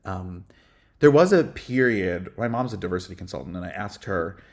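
A middle-aged man, a music director and voice teacher, telling a personal story as a podcast guest in a thoughtful way, pausing on fillers. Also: deep voice, expressive, vocal fry. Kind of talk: reflective conversational storytelling.

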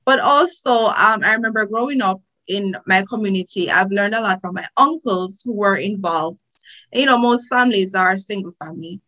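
Bright-voiced Jamaican woman, chatty. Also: medium pitch, normal speed, low-quality recording. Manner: chatty storytelling, many fillers. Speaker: guest, tax lawyer. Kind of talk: podcast interview.

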